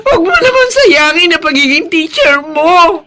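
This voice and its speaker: High-voiced, gentle Filipino wife. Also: female voice, sing-song, normal speed, full character voice. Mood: distressed pleading. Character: gentle, principled, worried wife. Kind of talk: radio drama acting.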